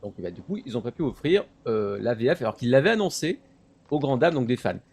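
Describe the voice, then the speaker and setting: A man chatting through anime news as a show commentator, explaining in an animated, digressive way. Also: mid-range voice, a little fast, slightly rough. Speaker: commentator on a web talk show about anime. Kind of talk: casual news chat.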